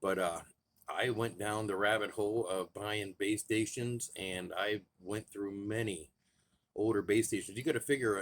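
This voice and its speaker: A man talking casually to camera as a radio hobbyist vlogger, chatting loosely while he tinkers. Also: on the low side. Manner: relaxed and chatty, thinking aloud while tinkering. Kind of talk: casual how-to vlog.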